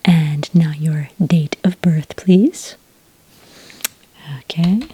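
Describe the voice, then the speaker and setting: A woman speaks in an extremely breathy half-whisper, low and mellow, as a patient, polite enrollment witch in an ASMR roleplay. She is gentle and unhurried, with small hesitations as she takes down details. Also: steady tone. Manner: gentle, slow, hesitant official patter. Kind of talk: soft-spoken ASMR roleplay. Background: computer keyboard typing.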